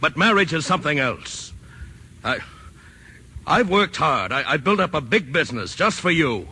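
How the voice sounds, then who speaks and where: A possessive, wealthy father in a 1940s radio drama. His voice is husky and bright-edged, with very animated pitch and a pleading, controlling manner. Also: normal speed, full character voice. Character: possessive, pleading rich businessman father of grown daughter.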